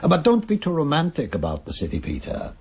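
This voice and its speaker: Genial, opinionated Berlin publisher, middle-aged. Creaky voice, big swings in pitch, hesitant phrasing. Radio drama. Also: male voice, huge pitch swings, a little fast, full character voice. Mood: wry. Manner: expansive, chuckling, slightly hesitant in phrasing. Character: genial, opinionated older Berlin art publisher hosting Christmas guests.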